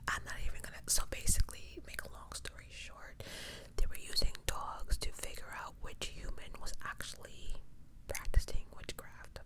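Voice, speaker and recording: A woman whispering softly and breathily as an ASMR host, reading aloud with informal, incredulous asides. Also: normal speed. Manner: informal, incredulous commentary between read passages. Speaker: host of true crime ASMR channel.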